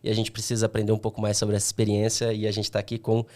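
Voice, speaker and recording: A man co-hosting a podcast in a relaxed, friendly way. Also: on the low side, racing. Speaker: podcast co-host.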